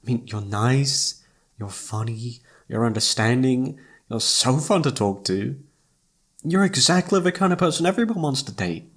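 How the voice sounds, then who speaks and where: A man's voice: mid-range voice, lively intonation, normal speed, fairly bright tone. A man acting as an informal, emotive young best friend who is secretly in love, in a one-sided roleplay audio drama. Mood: indignant, adoring. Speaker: voice actor.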